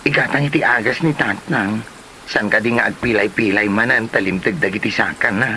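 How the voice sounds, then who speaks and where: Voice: mid-range voice, a little fast, pushed and bright, lightly acted. An actor playing a hardworking beautician and dutiful grown child in an Ilocano comedy drama, recorded on noisy location. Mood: meek, pleading.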